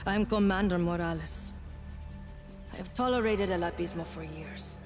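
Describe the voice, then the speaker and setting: A woman playing a merciless military commander in a video game, speaking in a formal, measured, authoritative way. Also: on the low side, natural voice. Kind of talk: video game cutscene acting.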